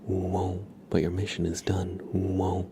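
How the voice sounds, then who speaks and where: A man hosting an ASMR livestream, speaking in a breathy whisper and soft murmur, soothingly repeating words to viewers at a close microphone. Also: sits low, monotone, slow, noisy background. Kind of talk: ASMR, slow whispered roleplay and chat to viewers.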